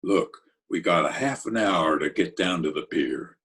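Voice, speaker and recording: A gruff Broadway big shot with a soft heart, played by a man for a radio play. He speaks very low and husky, in clipped slang at an unhurried pace. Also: heavily acted. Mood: impressed. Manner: gruff, laconic street slang. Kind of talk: 1940s radio drama acting.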